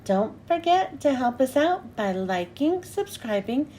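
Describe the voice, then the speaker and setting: A woman reading a picture book aloud to children with an expressive, animated delivery. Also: sits high, measured pace, slight background noise.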